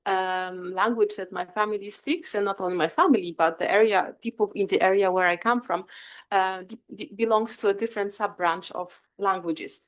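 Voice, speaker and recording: A female researcher with a Polish accent delivers an academic presentation in English, which is not her native language. Her voice sits at an average pitch for a woman. She proceeds hesitantly, often pausing and rewording herself. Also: steady tone. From Northeast Poland. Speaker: academic researcher, guest speaker.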